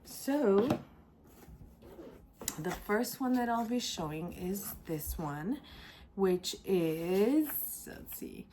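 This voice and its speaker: A woman hosting a casual craft unboxing vlog, her voice raspy and congested from a sore throat, speaking hesitantly with many ums. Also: medium pitch, expressive, extremely slow, pauses often, dark tone, slight background noise. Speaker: craft channel host.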